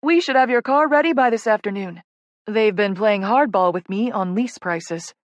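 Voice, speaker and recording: A woman voicing a calm, motherly office colleague in an audiobook, friendly, businesslike and reassuring. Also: medium pitch, moderate pace, dry recording, close to an everyday voice.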